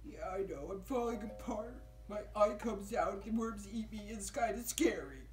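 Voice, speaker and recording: High, lilting, gentle zombie puppet. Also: male voice, lively intonation, normal speed, reverberant, soft background music. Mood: rueful. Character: gentle, friendly zombie puppet, falling apart, easily flustered. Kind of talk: puppet show for children.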